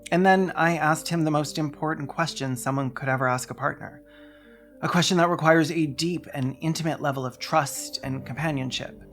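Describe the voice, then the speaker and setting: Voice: medium pitch, fairly even intonation, normal speed, a bit bright, soft background music. A video essayist narrating a script in a sardonic, theatrical way with dry humour.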